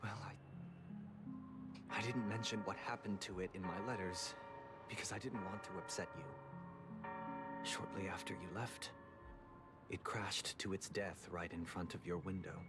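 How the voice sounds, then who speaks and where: An actor playing a composed, solemn young man in a video game, a persuasive brother speaking in measured, formal phrases. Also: sits low, steady tone. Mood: quiet, probing. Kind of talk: dramatic video game dialogue. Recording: music behind the voice.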